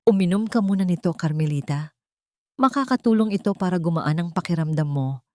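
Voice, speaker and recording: A woman with a Filipino accent voicing a gentle, earnest, determined male prosecutor in an audio drama. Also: on the low side, animated, fairly quick, no echo, clean studio sound, close to an everyday voice. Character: gentle, determined grown man, a loyal prosecutor.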